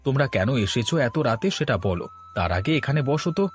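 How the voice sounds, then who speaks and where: A man's voice: medium pitch, very animated, fast talker, soft background music, natural voice. A man voicing a kind, honest shopkeeper and father in a moral-story cartoon, speaking in a welcoming, earnest way. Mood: welcoming, reassuring.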